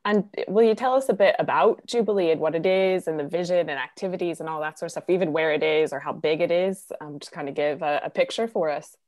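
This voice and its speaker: A woman's voice: sits low, fast talker, few pauses, darkish tone. A woman hosting a casual online chat, friendly and upbeat, with a lot of fillers. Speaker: host.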